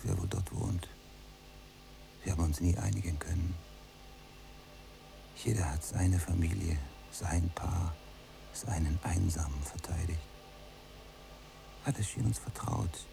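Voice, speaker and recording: Bitter, gravelly low-voiced male prisoner. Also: measured pace, long pauses, background noise, heavily acted. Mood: wistful, reflective. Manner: sardonic, accusing, brooding. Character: bitter, distrustful younger male prisoner sharing a cell. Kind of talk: German radio drama acting.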